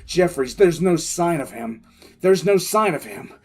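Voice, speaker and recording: An actor playing a frantic, bitter military commissar in an audio drama, telling his story in halting, intense first person. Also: fairly high pitch, expressive. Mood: tense, stunned. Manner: halting, intense, repeats words. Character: male military commissar, wounded, frantic, bitter survivor recounting disaster.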